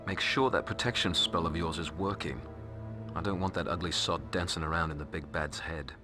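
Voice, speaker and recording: A man's voice: sits low, a little fast, music in the background, close to an everyday voice. An actor playing a sardonic, cocky male vampire with a British accent, speaking in a sneering, slangy, mocking way. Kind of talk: video game voice acting.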